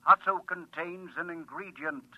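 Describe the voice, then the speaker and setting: Talking machine, impassive, official, fairly high, vintage radio acting, dry dull recording. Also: male voice, normal speed, dry recording. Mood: coldly instructive. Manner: formal, mechanical, announcer-like. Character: impassive talking computer answering any question. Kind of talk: 1950s radio drama acting.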